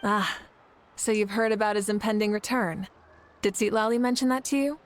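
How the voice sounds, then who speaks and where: A woman voicing a composed, confident female leader in a video game, speaking in a measured, formal and encouraging way. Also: mid-range voice, steady tone, a little hiss or hum, close to an everyday voice. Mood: friendly, curious. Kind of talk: scripted video game voice acting.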